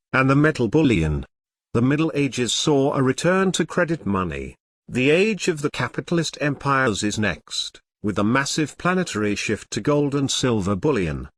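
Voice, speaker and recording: A man's voice: mid-range voice, fairly bright tone, dry recording, studio quality. A man giving scripted explainer narration in an earnest, formal, teacherly manner.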